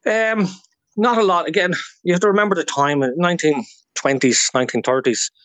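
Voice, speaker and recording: A man's voice: high-pitched, a little fast, fairly bright tone, dry recording. A man with an Irish accent talking as a guest historian in a radio interview, explaining things informally through anecdotes.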